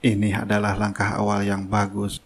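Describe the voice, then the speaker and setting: A man's voice: on the low side, completely flat delivery, moderate pace, breathy edge. A man playing a scheming young worker in a cartoon drama, who puts on a meek, innocent manner over his slyness. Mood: sly, smug. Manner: deferential aloud, sly in private. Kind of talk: cartoon drama dialogue and inner thoughts.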